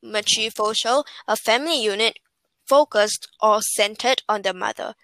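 A woman co-hosting a podcast, reading facts aloud in a halting way and tripping over words. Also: medium pitch, sharp tone, dry recording. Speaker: co-host.

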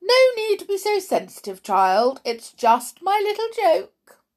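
A woman's voice: very high-pitched, big pitch swings, a little slow, voice pushed up, full character voice. A woman voicing a cruel, bossy hospital matron from a storybook, with a sneering, theatrically sarcastic delivery. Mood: gleeful mocking. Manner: theatrically scornful, sarcastic, snapping.

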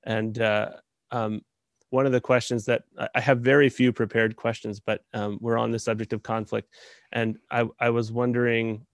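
A man's voice: medium pitch, flat delivery, moderate pace, dry recording. Hesitant male interviewer, dry sound. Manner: hesitant, stumbling, friendly. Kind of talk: casual interview questions.

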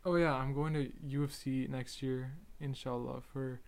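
A young man who stutters, speaking haltingly and hesitantly in a solo podcast monologue. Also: mid-range voice, level tone, creaky, crowd noise. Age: young adult. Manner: halting, soft-spoken, hesitant. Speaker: podcast host.

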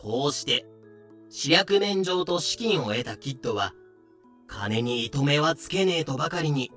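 A man's voice: a little high, animated, unhurried, a bit bright, faint music behind. A man reading scripted comedic narration for a voice drama, explaining things with dry, sarcastic asides.

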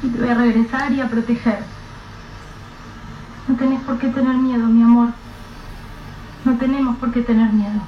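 A woman's voice: fairly high pitch, level tone, normal speed, pauses often, close to an everyday voice. A woman with a Rioplatense accent playing a desperate, anxious mother and wife in a radio drama. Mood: tender. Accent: Rioplatense Spanish. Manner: urgent, emotional. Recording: echoey, distant, dull, faint hiss.

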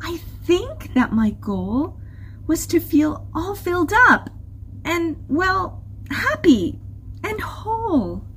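A woman voicing a wistful, soul-searching male whale in a storybook, speaking softly and haltingly. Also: a little high, sing-song, a little hiss or hum, voice pushed up, rough recording. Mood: wistful, hesitant. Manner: hesitant, soft, halting.

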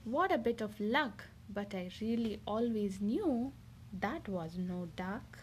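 A woman's voice: medium pitch, lively intonation, slow pace, a little hiss or hum. A woman voicing a fussy, bossy mother duck in a storybook read-aloud, coaxing and emphatic. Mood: pleased. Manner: sing-song, coaxing, emphatic.

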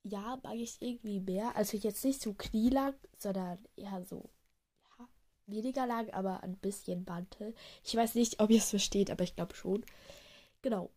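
Young woman with a cold, husky and rambling. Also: medium pitch, normal speed, dark tone. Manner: rambling, many fillers and self-corrections. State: has a cold. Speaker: podcaster. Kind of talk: casual chat. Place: outdoors in cold wind.

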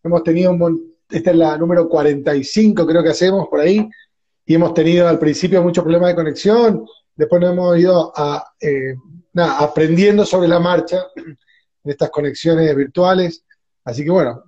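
A man's voice: a little high, a little fast, warm tone. A man hosting a live online interview, chatting casually and informally over a video call. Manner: informal, colloquial. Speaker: host of an online wine interview series. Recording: muffled video-call audio with music.

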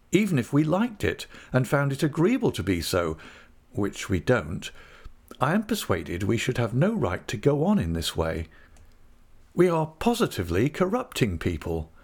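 A man playing an earnest young husband in an audiobook, gently coaxing and lecturing with grave reason. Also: lowish voice, breathy edge, full character voice. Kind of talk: audiobook character voice.

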